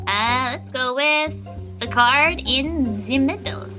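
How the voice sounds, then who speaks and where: A woman's voice: high-pitched, slow pace, over music. A woman chatting casually and a little hesitantly as a player in an improvised tabletop game. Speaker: player in tabletop role-playing podcast.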